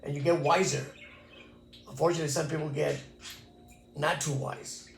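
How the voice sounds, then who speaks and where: A man's voice: on the high side, steady tone, moderate pace, vocal fry, reverberant, slight background noise. A man in his sixties from Texas, telling stories from his past in a reflective, earnest interview. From Texas, USA. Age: 60s. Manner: reflective, earnest storytelling with many restarts. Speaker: interviewee, former pachuco. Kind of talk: casual recorded interview.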